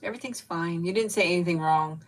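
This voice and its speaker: A woman in her fifties chatting candidly with viewers, grieving and close to tears, rambling with soft laughs and trailing pauses. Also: lowish voice. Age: 50s. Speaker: vlogger chatting with live viewers. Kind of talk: casual live chat.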